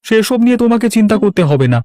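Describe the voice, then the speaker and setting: A man's voice: sits high, lively intonation, racing, darkish tone. A man voicing an eager, helpful village labourer in a cartoon, with a reassuring, deferential manner. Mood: friendly, reassuring. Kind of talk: animated story voice acting.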